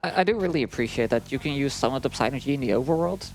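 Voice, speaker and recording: A man adds relaxed, conversational remarks as a gaming co-commentator, feeling his way through sentences with lots of "like". His voice is mid-pitched, and game music plays faintly behind him. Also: racing, low-quality recording. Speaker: co-commentator at a speedrun. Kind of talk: casual gameplay commentary. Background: video game music.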